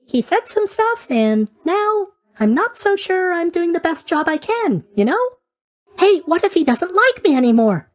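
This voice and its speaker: A man's voice: very high voice, sing-song, a little slow, heavily acted. A man voicing a chatty, eager-to-please young god of sleep in a video game, rambling along with bubbly asides. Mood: anxious. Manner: rambling, bubbly, hesitant asides, tag questions. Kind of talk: video game character voice acting.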